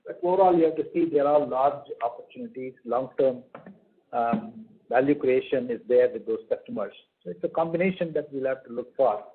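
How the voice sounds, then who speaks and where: A male company executive answers questions on a conference call in a halting, measured way. His mid-pitched voice is rough at the edges, and the line is narrow and phone-like.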